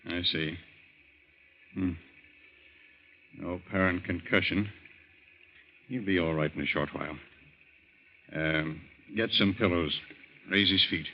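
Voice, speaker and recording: Deep-voiced stern older doctor. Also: male voice, steady tone, takes it slowly, pauses often. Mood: businesslike. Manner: brusque, commanding. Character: stern older male country doctor, brusque and unsentimental. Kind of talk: old-time radio drama acting. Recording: muffled old radio recording.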